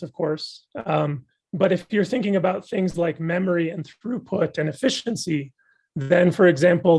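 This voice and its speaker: Male guest lecturer, voice going hoarse, husky and fairly high-pitched, eager and reflective. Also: darkish tone. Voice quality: gravelly and husky. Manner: thoughtful, enthusiastic academic explaining. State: losing his voice. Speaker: guest speaker. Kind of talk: answering audience questions after a talk.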